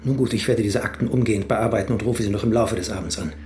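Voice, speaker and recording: An actor playing a cautious, skeptical investigating judge in a crime radio drama, formal and terse. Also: male voice, on the low side, fast talker, a little mellow, lightly acted. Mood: formal, decisive.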